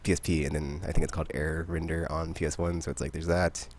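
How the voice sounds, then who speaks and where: Fast-talking, low-voiced man rambling about games. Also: a little hiss or hum. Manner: rambling, excitable, laughs often. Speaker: podcast host. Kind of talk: casual gaming chat.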